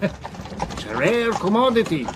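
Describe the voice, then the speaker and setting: Slow-talking, high-voiced eccentric old professor. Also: male voice, big pitch swings, exaggerated voice. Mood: brisk, teasing. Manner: brusque, exclamatory, foreign-sounding phrasing. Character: eccentric, blunt older foreign professor of the mind. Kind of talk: audio drama acting. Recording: noisy background ambience.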